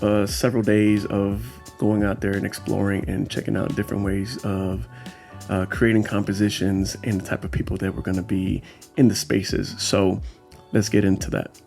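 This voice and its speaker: Guy w a lower voice doing casual vlog voiceover, chill and chatty, lots of ums, soft music under it. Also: creaky. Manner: easygoing, explanatory, with frequent fillers. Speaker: street photographer and channel host.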